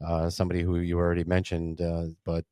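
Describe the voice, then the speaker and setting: A man's voice: low-pitched, monotone, fast, warm tone, clear, resonant tone, no echo. A man hosting a podcast interview as an attorney, asking friendly, chatty, rambling questions.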